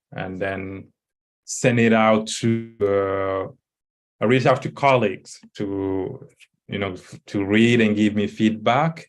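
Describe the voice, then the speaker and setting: A middle-aged man, not a native English speaker, talking on a video call through a headset, hesitant with many fillers and restarts. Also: fairly low pitch. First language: not English, not French. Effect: video call audio. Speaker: author and scholar. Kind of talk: casual panel talk. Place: indoor room.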